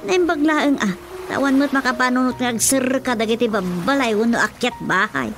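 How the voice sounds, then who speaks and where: Worried, lamenting mother female actor, Ilocano accent, halting delivery, somewhat high pitch, radio drama. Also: soft background music, close to an everyday voice.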